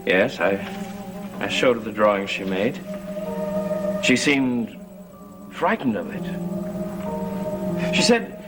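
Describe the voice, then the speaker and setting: An actor playing a grave, earnest man investigating dark powers in a gothic soap opera, speaking deliberately. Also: fairly low pitch, very animated, rough recording. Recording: vintage TV soundtrack with music.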